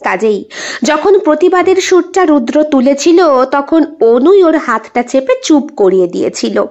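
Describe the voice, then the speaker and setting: A woman's voice: sits high, animated, unhurried, darkish tone, highs cut off. A woman narrating an audio story in a dramatic storytelling style.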